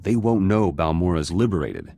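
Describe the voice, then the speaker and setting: A man's voice: low-pitched, sing-song, moderate pace, vocal fry, mellow, slight background noise, heavily acted. A man playing an embittered, vengeful resistance fighter in a video game, speaking in terse, clipped fragments with dropped words. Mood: terse, guarded. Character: embittered male resistance fighter turned politician, hard and vengeful. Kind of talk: video game dramatic voice acting.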